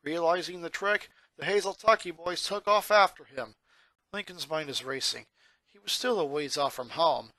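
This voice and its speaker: High, bright-voiced man narrating a story. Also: normal speed, dry recording. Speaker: fan fiction reader, narrator.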